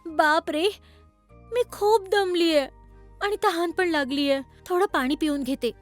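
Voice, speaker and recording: A woman voicing a kind-hearted, innocent young girl in a children's cartoon story, earnest and expressive. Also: very high voice, a little slow, faint music behind. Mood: hungry, tired.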